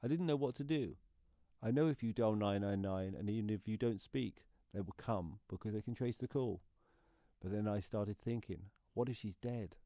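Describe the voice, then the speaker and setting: An actor plays an anxious man weighed down by guilt in a radio play. His voice is lowish and soft-edged, with a dark, mellow tone. He tells his story as an intense confession, recorded dry. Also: normal speed, no echo, lightly acted. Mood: anxious, shaken. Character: anxious, guilt-ridden male neighbour confessing what he did. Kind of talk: dramatic monologue in a radio play.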